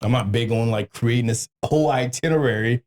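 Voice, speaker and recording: A man's voice: mid-range voice, a little fast, a little mellow. A man hosting a podcast in casual banter, talking informally with slang and swearing. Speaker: podcast host.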